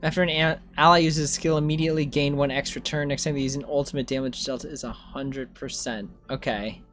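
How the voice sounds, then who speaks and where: Chill gamer girl on stream, lowish voice, slangy, bit of game music behind. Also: steady tone, a little fast. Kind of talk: casual livestream gameplay chat.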